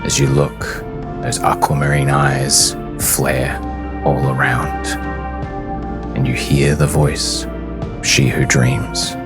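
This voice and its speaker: A man narrating a tabletop fantasy game as game master, deliberate and portentous, with long dramatic pauses. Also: extremely low pitch, lively intonation, vocal fry, over loud music.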